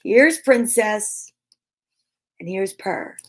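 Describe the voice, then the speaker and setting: A woman's voice: mid-range voice, expressive, very slow. A woman hosting a live children's story time, reading aloud and chatting to viewers in an upbeat, gushing, encouraging way.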